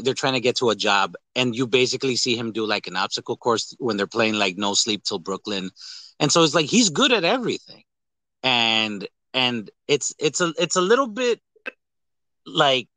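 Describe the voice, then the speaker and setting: A man's voice: medium pitch, pushed and bright, no room sound. A man co-hosting a podcast, talking casually about films in a rambling way and acting out quoted lines. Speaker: podcast co-host. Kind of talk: casual movie discussion.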